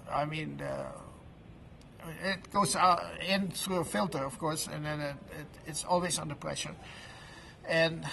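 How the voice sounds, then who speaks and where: Old guy in his late 70s, kinda high gravelly voice, lots of uh and you know, restarts words, chatting at an event booth. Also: fairly bright tone, a little hiss or hum. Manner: halting, restarting words, many fillers. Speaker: product promoter at an event booth. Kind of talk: informal testimonial interview. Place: trade event floor.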